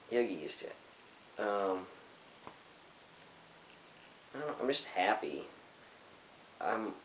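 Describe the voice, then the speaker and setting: A young man with a very low voice talks casually to a camera from his couch. He speaks haltingly, with many fillers and restarts. The room sounds echoey, and a faint hiss sits under the voice. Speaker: vlogger. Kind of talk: casual personal vlog to camera. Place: living room, seated on a couch. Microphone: camera in front of him.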